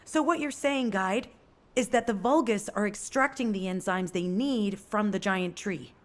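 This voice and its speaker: A woman's voice: medium pitch, unhurried, close to an everyday voice. A woman playing a confident, combative young fighter in a video game, direct and assured. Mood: questioning.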